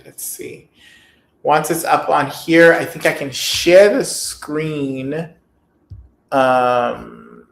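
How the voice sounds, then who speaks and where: A middle-aged man chatting casually as a livestream host, animated, encouraging and quick to exclaim, on a video call. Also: on the high side, gravelly. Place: indoor room, video call. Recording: echoey room on a video call.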